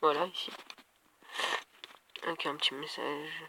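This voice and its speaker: A man's voice: a little high, steady tone, slow pace, vocal fry, background noise. Man with a head cold, thinking aloud. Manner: thinking aloud, hesitant, talks to viewers. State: stuffed up with a cold. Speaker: gaming video creator. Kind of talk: casual gameplay commentary.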